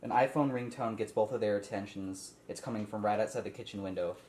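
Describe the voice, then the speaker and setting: A man's voice: lowish voice, quick pace, a little mellow. A man leading a casual table read, reading out stage directions and explaining informally. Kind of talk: casual script read-through with asides. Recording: echoey room with faint noise.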